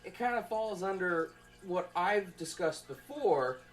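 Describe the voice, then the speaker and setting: A young man giving an informal student presentation from notes, in a halting, conversational way. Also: high voice, animated, poor audio. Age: young adult.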